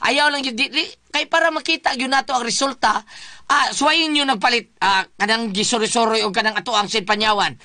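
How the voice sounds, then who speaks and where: A woman's voice: high voice, pushed and bright, dry recording, clean studio sound. A woman with a Cebuano accent hosting radio, chatting through greetings and product plugs. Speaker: radio host.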